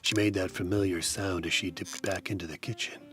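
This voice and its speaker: A man narrating a hardboiled detective story in a dry, deadpan, wry manner. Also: low-pitched, brisk, soft background music.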